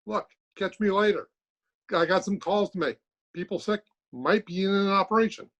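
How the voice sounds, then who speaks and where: A man's voice: high-pitched, normal speed, fairly bright tone, muffled recording. A man playing a sarcastic, exasperated middle-aged doctor in an old-time radio comedy, trading dry insults. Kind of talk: 1950s radio comedy acting.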